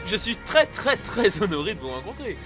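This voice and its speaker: An eager rookie agent played by a man in an audio drama, youthful and easily flustered. He talks fast in a high, very animated voice, with music behind him. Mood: nervous, starstruck. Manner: hesitant, excitable, touchy. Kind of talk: French audio drama acting. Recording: music under the voice.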